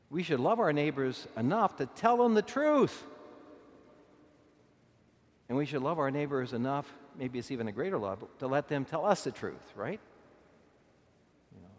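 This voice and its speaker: A middle-aged male bishop preaches from the pulpit at an ordinary pitch. His delivery is conversational, but he slows down and leans on key words. His voice rings out into a long church reverb. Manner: conversational preaching, slow deliberate emphasis. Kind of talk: sermon.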